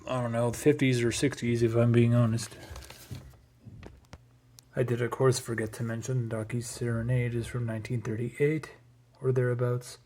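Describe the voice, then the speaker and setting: A man's voice: mid-range voice, dark tone, a little hiss or hum. A man giving a casual, unscripted show-and-tell as a collector, rambling along with hesitant asides. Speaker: record collector presenting a collection.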